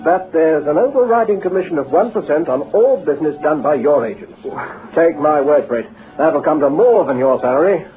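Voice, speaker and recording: A male actor plays a smooth-talking British businessman in an old radio drama. His voice swings animatedly as he flatters and persuades in a brisk, avuncular way. The sound is thin and band-limited, with a light hiss. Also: on the high side, narrow, tinny audio, close to an everyday voice. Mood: persuasive.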